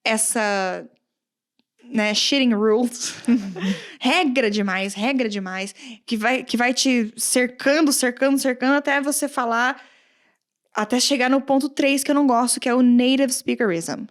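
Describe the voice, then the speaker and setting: A woman from Brazil with a countryside accent, a guest English teacher chatting casually on a podcast, animated, often laughing and acting out quoted comments. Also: on the high side, a little slow. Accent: Brazilian Portuguese, countryside.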